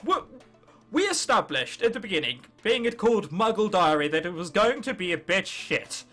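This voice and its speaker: A young English man chats through a video game in a high, bright voice. His intonation swoops around as he mutters, mocks himself and swears in a loose, sarcastic running commentary. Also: sing-song, moderate pace, soft background music. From England. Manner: self-mocking, sarcastic, swears freely. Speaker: gaming video host. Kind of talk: casual gameplay commentary.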